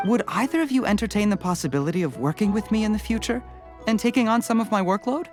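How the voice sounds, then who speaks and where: A man's voice: high voice, a little mellow, lightly acted. A man playing a polite, earnest young candidate in a video game, speaking in a courteous, diplomatic way. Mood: gracious. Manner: courteous, measured. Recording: prominent background music.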